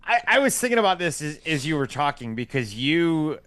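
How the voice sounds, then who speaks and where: Bright-voiced upbeat sports radio host. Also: male voice, fairly high pitch, big pitch swings, brisk, no room sound. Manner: upbeat, joking banter. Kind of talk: sports radio talk show.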